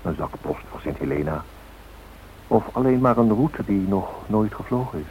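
Deep-voiced solemn actor as radio narrator. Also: male voice, animated, close to an everyday voice. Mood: reflective. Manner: measured, solemn. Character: solemn, reflective male documentary-drama narrator. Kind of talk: scripted narration in a radio play. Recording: tinny hissy old radio recording.

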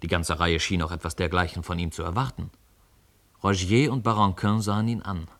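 Guy narrating an old-school story for a radio play, really deep voice, measured and articulate. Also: steady tone, fairly bright tone.

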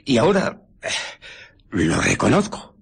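An actor playing an embittered, menacing invisible scientist in a Spanish radio drama, with a Castilian accent, husky breath and intense, halting emphasis that sinks into whispers. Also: male voice, medium pitch, huge pitch swings, heavily acted. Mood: brooding, intense.

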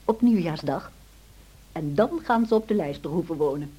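Earnest housekeeper, slightly husky. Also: female voice, low voice, animated, normal speed, dark tone, rough recording. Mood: solemn. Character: Nelly Dean, loyal anxious housekeeper recounting past events. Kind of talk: radio drama narration, storytelling to a listener.

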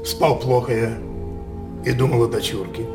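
An actor playing a tyrannical, feverishly passionate king in a declamatory radio drama style, with drawn-out words and sudden outbursts. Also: medium pitch, level tone, close to an everyday voice. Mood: restless tenderness. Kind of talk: Russian theatrical radio drama acting. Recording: roomy, distant voice over loud music.

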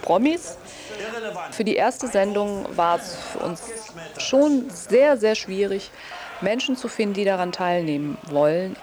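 A woman's voice: mid-range voice, animated, a little slow, darkish tone. A woman speaking spontaneously in an interview as someone from a television production team.

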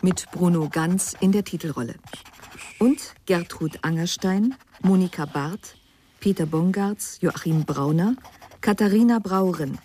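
A woman announcer reading the scripted credits of a radio drama in a mellow, husky voice. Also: fairly low pitch, a little slow.

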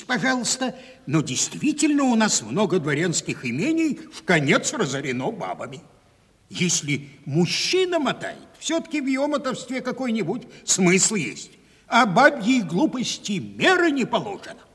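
An actor in a radio comedy playing a blunt, cynical country landowner who grumbles in a gruff, sardonic manner. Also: male voice, sits high, fairly bright tone, full character voice. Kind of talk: classic Russian stage comedy radio acting. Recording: 1970s radio play, reverberant hall.

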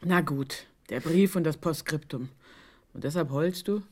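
An actress playing a bossy, sharp-tongued middle-aged mother-in-law in a radio drama, dry and scolding. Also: sits low, a little slow. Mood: dry, teasing.

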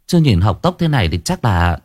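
A man voicing a brisk, practical wife who coaxes a crying relative to calm down. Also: lowish voice, no echo, studio quality. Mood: coaxing. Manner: brisk, coaxing.

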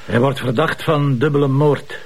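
An actor playing a wry, confident police commissioner in a crime drama, speaking colloquially with a Flemish accent. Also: male voice, medium pitch, fairly even intonation, moderate pace, slight background noise, lightly acted. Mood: probing, calm. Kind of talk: television crime drama acting.

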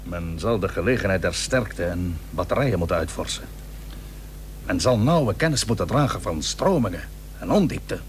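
Quick-tempered, cautious naval commander. Archaic, emphatic radio-play delivery, lively intonation, slightly noisy old recording. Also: male voice, low-pitched. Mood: measured, wary. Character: stern, proud Dutch admiral, cautious seaman, quick to anger. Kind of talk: historical radio play acting.

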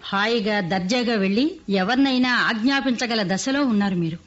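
An actress playing a gently teasing wife in a radio play. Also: female voice, a little high, brisk, close to an everyday voice. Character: teasing middle-aged wife of industrialist. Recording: muffled radio play with faint music.

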